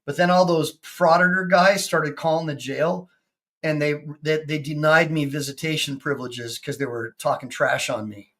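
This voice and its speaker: A man in his fifties, a personal injury attorney hosting a casual video-call chat, excitable and full of stammering restarts. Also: on the high side, normal speed. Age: 50s.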